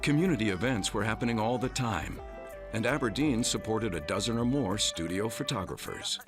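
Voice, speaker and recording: Low-pitched male documentary narrator, music behind. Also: lively intonation, moderate pace. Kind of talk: scripted narration.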